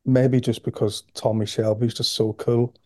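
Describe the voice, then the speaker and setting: A man in his forties with a Northern Irish accent, a professor being interviewed on a podcast, talking in a relaxed, steady way with frequent pauses and repeated words. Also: mid-range voice, dry recording, clean studio sound. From Belfast, Northern Ireland. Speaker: guest, sports nutrition professor.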